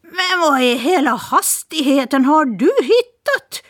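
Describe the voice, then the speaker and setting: A woman doing a hoarse little elf granny. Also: a little high, huge pitch swings, voice pushed up. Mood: startled. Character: small hoarse old female elf.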